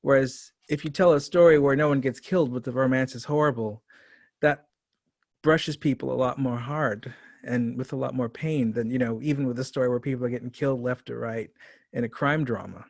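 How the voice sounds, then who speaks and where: A man's voice: mid-range voice, brisk, gravelly, no echo, studio quality. A man conducting an interview in a conversational way, as a writer and director would.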